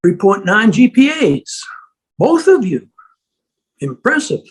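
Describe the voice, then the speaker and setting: A woman playing a smug, condescending male asylum director with a measured, husky voice in a drama table read. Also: medium pitch, sing-song, heavily acted.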